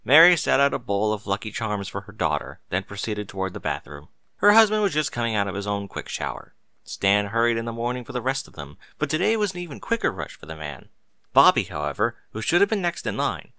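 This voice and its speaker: A man narrates a story from a script. His voice is bright and very animated in pitch, recorded close and dry. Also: no room sound.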